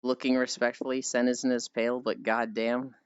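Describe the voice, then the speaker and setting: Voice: mid-range voice, flat delivery, normal speed, clear, resonant tone, no room sound. A livestreamer chatting casually and answering viewers in a rambling, playfully teasing way with mild swearing. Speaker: livestreamer, host. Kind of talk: casual livestream chat, reading and answering chat messages. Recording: dull lo-fi audio with music. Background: music.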